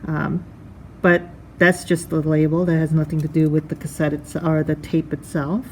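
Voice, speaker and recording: A woman's voice: low-pitched, little pitch movement, normal speed, a little mellow, slight background noise. A woman giving a casual hands-on product review, thinking aloud in a conversational way.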